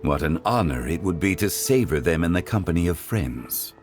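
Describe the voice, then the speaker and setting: An actor playing a genteel, unhurried gentleman in a video game, speaking in a formal, measured and courteous way. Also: deep voice, animated, over loud music. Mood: genial.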